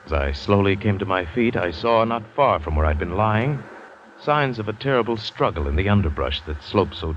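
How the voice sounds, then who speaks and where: Stranded big-game hunter, very low male voice, dramatic radio storytelling, muffled vintage recording. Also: a little hiss or hum. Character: shipwrecked American big-game hunter narrating his ordeal. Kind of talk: old-time radio drama first-person narration. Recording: dull vintage radio recording, music behind.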